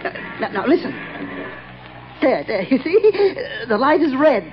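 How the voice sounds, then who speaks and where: A man's voice: extremely high pitch, a little slow, soft background music, exaggerated voice. An actor in a 1940s radio drama playing a deranged, fearful male night watchman and bomber who stammers, giggles and repeats himself. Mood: gleeful, manic. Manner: stammering, repetitive, giggling, excitable. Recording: muffled old radio broadcast.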